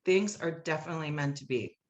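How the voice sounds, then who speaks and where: A woman's voice: low-pitched, fast talker. A woman speaking casually and conversationally as a guest in a podcast interview. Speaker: podcast guest, musical writer.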